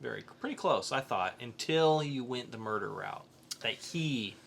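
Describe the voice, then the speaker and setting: A man's voice: mid-range voice, animated, normal speed, gravelly, a bit bright. A man hosting a casual podcast discussion about comic books. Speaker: podcast host.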